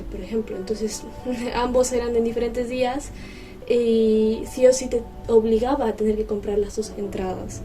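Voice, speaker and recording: A woman's voice: fairly high pitch, warm tone. A woman vlogger chatting casually to camera, explaining things in a conversational way. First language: Spanish. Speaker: vlogger, host. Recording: distant mic with music behind.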